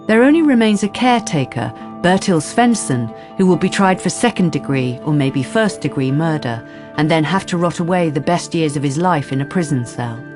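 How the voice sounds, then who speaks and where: An actress as the sober narrator of a radio crime drama, reading in a measured, wry way. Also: female voice, sits low, animated. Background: music.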